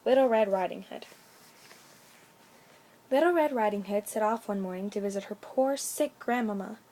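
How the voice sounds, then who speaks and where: A woman's voice: medium pitch, normal speed, dark tone, low-quality recording. A woman storyteller reading a children's bedtime story aloud with expression.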